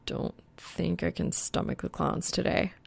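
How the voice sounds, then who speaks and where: Woman playing an awkward deadpan young gamer guy. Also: measured pace, creaky, heavily acted. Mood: awkward, casual. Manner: deadpan, low-key, mumbled.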